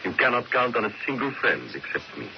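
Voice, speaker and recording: A man's voice: medium pitch, very animated, a little fast. An actor playing a stern, regal king in a radio drama, cold, firm and authoritative. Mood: cold, resolute. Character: stern, regal Italian king dismissing his dictator. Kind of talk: old-time radio drama acting. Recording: muffled, noisy vintage radio recording.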